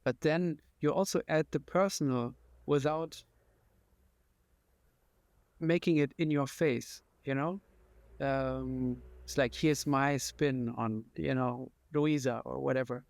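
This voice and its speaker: A man's voice: mid-range voice, steady tone, slow pace, creaky, no echo. A man hosting an interview, talking in an enthusiastic, admiring way as he thinks aloud. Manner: enthusiastic, admiring, talks through his thoughts. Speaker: interview host. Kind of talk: casual conversation.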